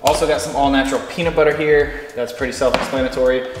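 A man's voice: medium pitch, steady tone, fast. An American man in his thirties chatting casually to a camera held at arm's length in his home kitchen, as a fitness vlogger. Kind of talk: casual vlog talk to camera. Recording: echoey kitchen with music underneath. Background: background music.

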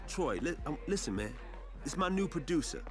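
A man's voice: fairly high pitch, animated, normal speed, natural voice. A man playing a defensive small-label boss. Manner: placating, hesitant street talk. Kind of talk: video game cutscene acting. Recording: game audio with loud hip hop music.

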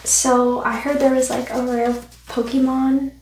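A young woman playing an excitable, bashful card-collecting traveler in a casual ASMR roleplay, speaking hesitantly and girlishly. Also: high-pitched, unhurried. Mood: eager, bashful. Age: young adult. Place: small indoor room set. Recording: roomy, distant, noisy with clicks.

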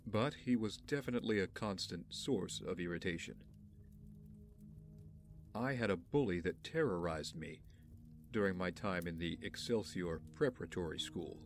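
A man playing an aloof, wry young billionaire in an audio drama, speaking in a dry, measured, haughty way. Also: sits low, faint music behind, natural voice. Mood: reflective, wry.

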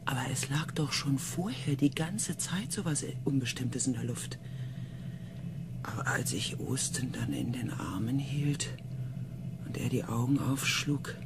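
An actor playing an anxious, reflective researcher who narrates a sci-fi radio drama in a tense, dramatic manner. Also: male voice, medium pitch, animated, slow pace, long pauses, whispered. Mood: shaken. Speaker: narrator and character. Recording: faint background ambience.

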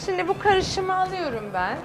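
A woman's voice: very high-pitched, big pitch swings, normal speed, over music. A middle-aged woman, a guest chef on a studio cooking show, explaining each step as she works. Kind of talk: cooking demonstration. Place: TV studio kitchen set.

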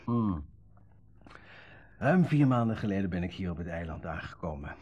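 An actor playing a thoughtful, modest young man with a quietly philosophical temper in a radio play. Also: low-pitched, very animated, moderate pace, breathy edge, full character voice. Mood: sincere. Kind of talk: Dutch radio play acting, naturalistic dialogue. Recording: dull old radio recording.

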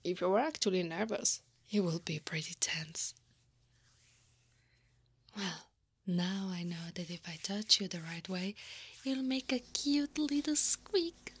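Soft whispering centaur woman, acted by a woman, teasing. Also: on the low side, animated, slow pace, pauses often, no echo, full character voice. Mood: playful, teasing. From Italy. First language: Italian. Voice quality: breathy, often whispering. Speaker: ASMR roleplay performer. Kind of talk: ASMR roleplay.

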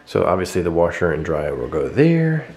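A man in his thirties giving a quick, upbeat house tour and chatting at home. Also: deep voice, sing-song, darkish tone. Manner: quick, upbeat, rambling with restarts. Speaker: vlog co-host. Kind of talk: casual house tour and chat. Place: living room at home, indoors.

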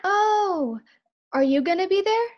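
A woman's voice: extremely high pitch, lively intonation, a little slow, lo-fi sound. A woman acting a naive, wry, loyal housemaid in a 1940s-style radio sitcom.

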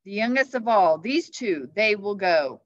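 A woman's voice: medium pitch, expressive, unhurried, lightly acted. Storybook knight, male, stern and declamatory, performed by a woman; slightly dull recording. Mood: stern, booming. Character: commanding, booming male Christmas knight in a fantasy tale. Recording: muffled, echoey classroom webcam audio.

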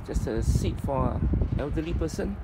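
A man giving an informal, halting item-by-item walkthrough as a presenter at a storage unit. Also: animated. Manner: halting, piecemeal delivery. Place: storage facility, at open unit. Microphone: handheld phone camera, speaker behind it. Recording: noisy handheld recording, traffic-like rumble.

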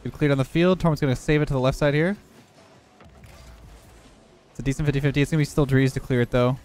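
A young man who has lost some of his voice, hoarse, giving relaxed running commentary over his own gameplay. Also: mid-range voice, fast talker. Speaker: gaming YouTuber.

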